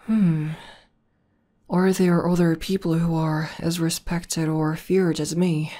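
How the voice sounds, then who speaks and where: Woman voicing a low, slow, mellow tomboy demoness. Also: little pitch movement. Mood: calm, boastful. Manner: unhurried, hesitant pauses, conversational. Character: buff tomboy demoness girlfriend, proud battle legend, calmly affectionate. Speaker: ASMR roleplay voice actor. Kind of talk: slow intimate ASMR roleplay.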